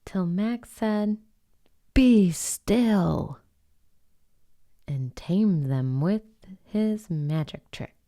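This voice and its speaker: A woman reading a children's picture book aloud as host, in an expressive storytelling manner with dramatic pauses. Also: deep voice. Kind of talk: slow bedtime storybook read-aloud.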